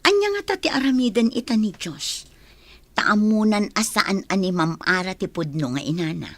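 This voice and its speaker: A woman's voice: medium pitch, expressive, moderate pace, fairly bright tone, dry recording. A woman playing a supportive cousin and confidante in a radio drama, conversational and reassuring. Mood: pleased, reassuring.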